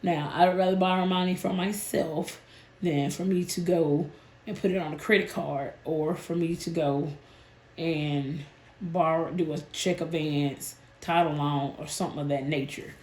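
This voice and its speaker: A woman, hoarse and a bit nasal from a sinus illness, chatting casually with her viewers about her budget. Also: sits low, steady tone, measured pace, darkish tone, reverberant. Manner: chatty, addresses viewers as "y'all". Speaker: budgeting channel host.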